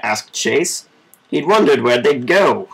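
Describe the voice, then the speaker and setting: A man reading a children's story aloud in an informal, measured amateur way. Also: medium pitch, expressive, a crowd in the background. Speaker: storybook reader.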